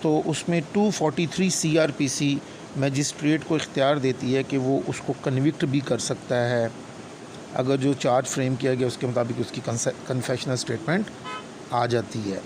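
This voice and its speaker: A man with a Pakistani accent giving an explanatory law lecture, deliberate and instructional, mixing in English legal terms. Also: medium pitch. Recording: clear steady background noise, hum.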